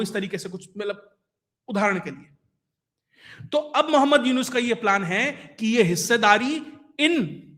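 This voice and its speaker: A man with a notably high, bright, forceful voice. He delivers political commentary in a colloquial way, explaining points to the viewer and stressing them with rhetorical asides. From India. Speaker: YouTube news commentator.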